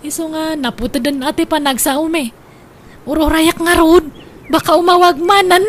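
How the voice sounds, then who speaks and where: A woman playing an excitable, man-hungry older sister in a comedy radio drama, exclaiming theatrically. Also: high voice, moderate pace, natural voice. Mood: eager, flustered. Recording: faint background noise.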